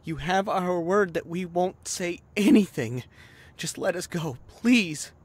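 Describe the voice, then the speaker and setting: A man's voice: high voice, takes it slowly, slightly rough, heavily acted. A man playing a timid young man in an audio drama, anxious and stammering as he pleads. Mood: pleading, scared. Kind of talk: audio roleplay acting.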